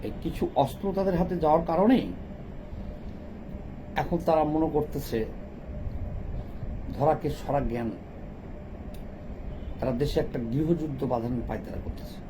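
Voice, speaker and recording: A man's voice: on the high side, moderate pace, pauses often, noisy background. A middle-aged man with a Bangladeshi accent gives impromptu political commentary, emphatically and in short broken phrases.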